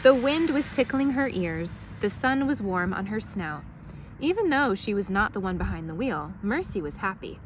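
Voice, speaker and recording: A woman reads a children's storybook aloud. Her medium-pitched voice moves up and down a lot, very animated. The recording carries faint noise. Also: moderate pace. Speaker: storytime reader.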